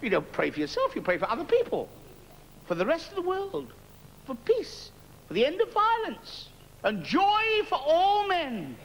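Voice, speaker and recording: A man playing a brash, bawdy pantomime dame in a cheeky falsetto, bantering with the audience in a reverberant theatre. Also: wildly swooping pitch, normal speed, creaky, slight background noise, heavily acted.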